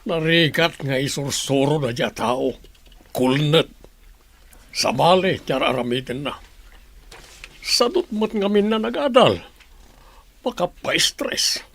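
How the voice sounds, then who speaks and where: Paos na lolo. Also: male voice, on the high side, lively intonation, slow pace, creaky, pauses often, a bit bright, full character voice. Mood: caring. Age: older. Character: older grandfather, head of household. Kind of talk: radio drama acting.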